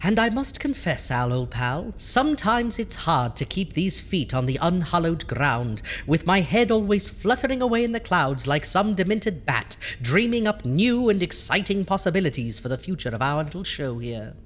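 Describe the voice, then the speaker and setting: An actor playing a vain, theatrical ghoulish horror host in a campy radio show, full of pompous punning patter. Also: male voice, high-pitched, sing-song, moderate pace, hardly any pauses. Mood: mock grand.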